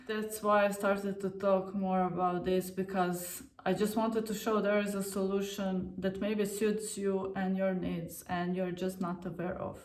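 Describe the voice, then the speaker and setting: A woman in her thirties from Croatia, telling her own story to camera in a candid, unhurried way with frequent fillers. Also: medium pitch, darkish tone. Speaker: vlogger. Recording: roomy sound, mic at a distance.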